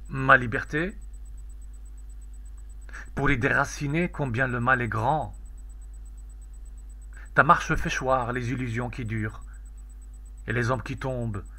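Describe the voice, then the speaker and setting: Bright-voiced man solemnly reciting poetry. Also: mid-range voice. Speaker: poetry reader.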